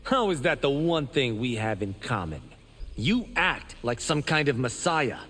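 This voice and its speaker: An actor voicing a boastful, vain young monk in a video game. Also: male voice, mid-range voice, sing-song, unhurried, fairly bright tone. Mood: cocky.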